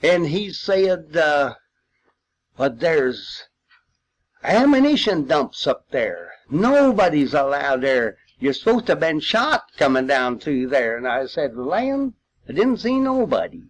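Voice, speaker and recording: Older male veteran, rural Missouri accent. Higher-pitched voice, very unhurried, folksy storyteller. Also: big pitch swings. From Missouri, United States. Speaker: World War II veteran storyteller. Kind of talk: rambling personal reminiscence.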